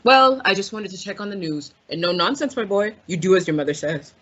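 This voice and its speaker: A woman playing a pompous, paternal older businessman in an old-time radio drama, with a husky voice. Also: mid-range voice, lively intonation, brisk, a bit bright, lo-fi sound. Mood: stern, paternal. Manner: pompous, formal.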